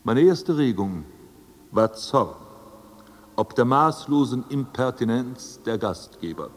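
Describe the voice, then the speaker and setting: A man's voice: mid-range voice, lively intonation, takes it slowly. A man playing a haughty, self-assured dead aristocrat in a radio drama, speaking in formal, ornate and pompous phrases. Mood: indignant. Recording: long hall reverb, music underneath.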